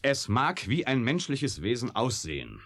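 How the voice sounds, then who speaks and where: A man's voice: mid-range voice, huge pitch swings, a little slow, heavily acted. An actor playing a cynical, hot-headed male scientist in a science-fiction radio play, blunt, sarcastic and emphatic. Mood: urgent, defiant. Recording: noisy vintage radio drama recording.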